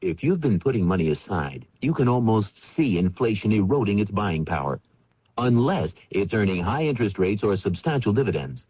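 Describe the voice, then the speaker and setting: Husky, lowish-voiced male commercial announcer. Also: big pitch swings, normal speed. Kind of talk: scripted financial radio advertisement. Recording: dull vintage radio commercial.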